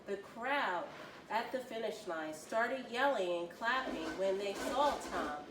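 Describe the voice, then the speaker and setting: A woman reading a children's story aloud with expressive, deliberate storytelling. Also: medium pitch. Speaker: volunteer children's story reader. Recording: roomy, distant mic, faint noise.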